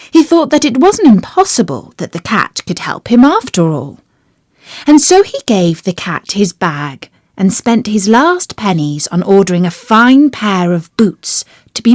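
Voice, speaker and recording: Female storyteller, scripted children's fairy tale, lively intonation, unhurried, dry close sound. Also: medium pitch, no room sound. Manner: expressive, deliberate phrasing.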